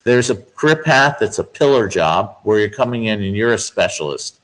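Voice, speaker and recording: A man giving measured, explanatory answers as a guest expert in a business interview, laying out points step by step with emphasis. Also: lowish voice, expressive, fairly bright tone, low-quality recording. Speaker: guest speaker, finance expert.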